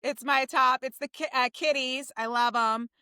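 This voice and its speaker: Woman podcast guest, American accent, higher forceful voice in a dry recording, effusive, rambling, casual. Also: steady tone, moderate pace, clean tone, no room sound. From New Jersey, United States. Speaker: podcast guest, theater writer and director.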